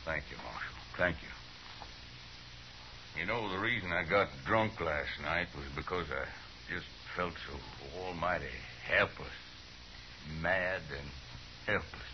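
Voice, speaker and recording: An actor playing a proud, stubborn greenhorn cattle rancher from Alabama, blunt and brooding, in 1950s radio Western style. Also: male voice, low voice, takes it slowly, pauses often. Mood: proud, weary. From American. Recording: muffled, hissy 1950s radio recording.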